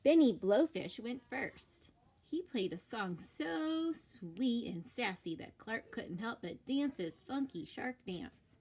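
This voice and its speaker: Slow, very animated woman reading a storybook. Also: a little high, slightly rough. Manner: animated, deliberate emphasis. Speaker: teacher reading aloud. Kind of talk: expressive children's storybook read-aloud.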